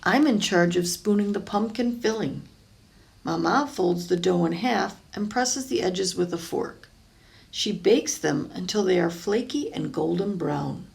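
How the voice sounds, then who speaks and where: Gravelly-edged woman reading a picture book aloud. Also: lowish voice, measured pace. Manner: expressive, with explanatory asides. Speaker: storybook reader, teacher.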